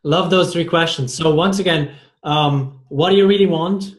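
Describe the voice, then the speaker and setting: The host of an interview podcast in an echoey room, a man with a slightly high voice who circles and restarts his way toward each question. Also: moderate pace. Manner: rambling, stumbles and repeats words. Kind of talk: conversational interview. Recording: roomy, echoey sound.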